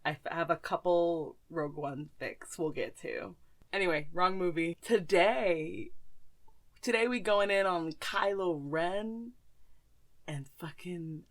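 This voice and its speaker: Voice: mid-range voice, takes it slowly, a bit bright. A podcast host in casual fan chat, gushing and slangy, with frequent swearing.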